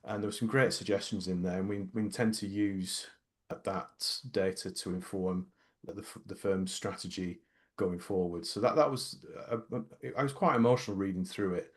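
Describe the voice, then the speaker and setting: A man's voice: low voice, normal speed. A middle-aged man co-hosting a casual workplace podcast. He speaks hesitantly, with many repeated words and restarts. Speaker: podcast co-host.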